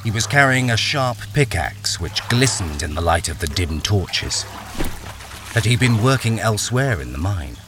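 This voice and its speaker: A man's voice: on the low side, big pitch swings, moderate pace, background noise. An actor playing a haunted miner, narrating his ordeal in a brooding, suspenseful radio-drama style. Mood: uneasy. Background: music.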